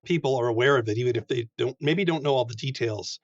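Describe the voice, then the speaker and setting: A man's voice: medium pitch, fast. A man chatting casually as he interviews a guest, heard on a slightly dull recording. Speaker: interviewer, podcast host.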